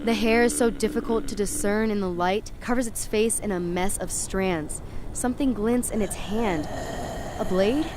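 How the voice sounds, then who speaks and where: A woman's voice: mid-range voice, background noise, close to an everyday voice. An actress playing a panicky young woman on acid, telling her horror story in the first person in an urgent, confiding way. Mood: uneasy, dread. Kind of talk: first-person present-tense horror narration, dramatic.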